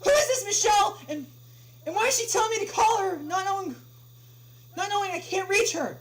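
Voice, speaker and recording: A woman voicing a furious male customer on a support call, ranting in a shrill shout. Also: expressive, brisk, far from the mic. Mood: screaming. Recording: echoey room with noisy hum.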